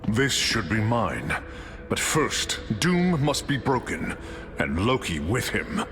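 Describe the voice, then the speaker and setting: Slow, grand thunder god. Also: male voice, fairly low pitch, big pitch swings. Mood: regal, grave. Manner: grand, archaic, declamatory. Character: noble, proud, mighty male thunder god. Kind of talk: video game character voice lines. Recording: long reverb, game music behind.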